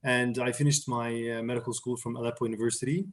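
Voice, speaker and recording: Young man from Syria, halting speech. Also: medium pitch, steady tone. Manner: hesitant, many fillers and restarts. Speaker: interview guest, surgery resident. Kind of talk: casual conversational interview.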